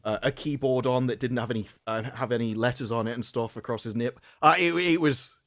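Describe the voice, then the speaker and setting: A man's voice: mid-range voice, brisk, no echo. An American man in casual livestream chat, telling rambling joking stories. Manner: rambling, joking, animated storytelling.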